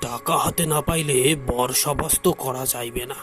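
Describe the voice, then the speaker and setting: A man's voice: medium pitch, normal speed, over music, close to an everyday voice. A man playing a stern, indignant aristocratic father of a groom in an audio story, haughty and complaining. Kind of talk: audio story drama acting.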